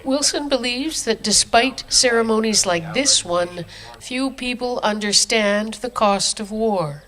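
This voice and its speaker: A woman news reporter giving scripted narration in a steady, solemn way. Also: fairly high pitch, measured pace, a little hiss or hum.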